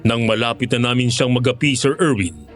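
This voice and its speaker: Horror narration: male actor as former aswang hunter, mid-pitched, measured and grave, faint music underneath. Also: expressive, natural voice. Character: former male aswang hunter recounting his grim experience. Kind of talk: dramatic horror story narration in Tagalog.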